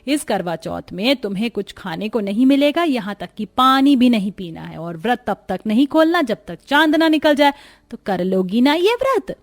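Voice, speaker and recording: Firm high-voiced mother-in-law, acted by a woman. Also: sing-song, normal speed. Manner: instructing, firm, addresses her daughters-in-law. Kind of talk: cartoon story dialogue.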